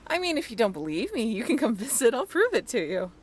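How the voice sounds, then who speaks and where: A woman's voice: a little high, sing-song, quick pace, breathy edge. A woman playing a friendly, flustered young former college roommate in a romantic audio roleplay, talking in a bashful, hesitant, giggly and apologetic way. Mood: playful, teasing. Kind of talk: romantic audio roleplay, naturalistic one-sided conversation.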